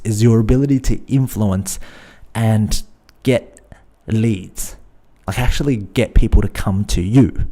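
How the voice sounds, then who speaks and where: Australian male podcast host, low gravelly voice, mellow tone, earnest and repetitive coaching delivery. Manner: earnest, repeats words, restarts phrases. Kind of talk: casual solo podcast talk.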